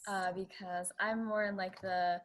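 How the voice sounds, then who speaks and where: A woman's voice: medium pitch, little pitch movement, unhurried, fairly bright tone. A woman student sharing her thoughts in class, rambling with plenty of "like"s. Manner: rambling, many "like"s. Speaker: student in arts administration.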